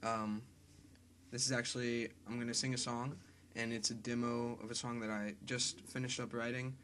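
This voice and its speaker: A congested young man with a cold introduces his song demo in a quiet, mumbled, quick casual way, talking into a webcam in a small bedroom. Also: medium pitch, little pitch movement, a little hiss or hum. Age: young adult. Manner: quiet, mumbled, fast and filler-heavy talk. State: congested with a cold and sore throat. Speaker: singer-songwriter. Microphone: webcam close up. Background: acoustic guitar.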